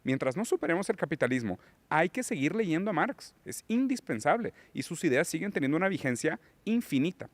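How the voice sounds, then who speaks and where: A man's voice: a little high, sing-song, fairly quick, hardly any pauses, no echo. A man who is a guest on a political podcast, lecturing on theory and rising into emphatic points. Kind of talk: political conversation.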